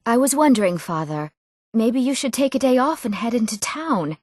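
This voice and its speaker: A woman's voice: fairly high pitch, lively intonation, normal speed, a little mellow, no room sound. Earnest young fantasy village woman, dry sound. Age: young adult. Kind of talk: scripted fantasy video game voice lines.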